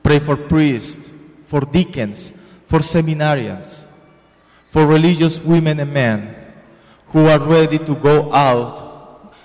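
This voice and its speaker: A man's voice: a little high, slow pace, darkish tone. A young man from Colombia with a Spanish accent, giving an earnest, repetitive homily into a handheld microphone in a church. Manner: earnest, repetitive, preaching with hand gestures. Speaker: priest. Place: large church sanctuary. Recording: long church reverb.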